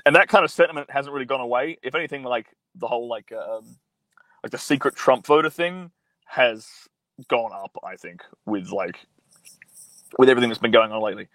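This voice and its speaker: A man's voice: medium pitch, lively intonation, fairly quick, fairly bright tone, no room sound, low-quality recording. Blunt profane man streaming. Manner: blunt, profane, rambling with many fillers. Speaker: livestream host reading viewer comments. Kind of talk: casual profane live-stream chat. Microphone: mobile phone.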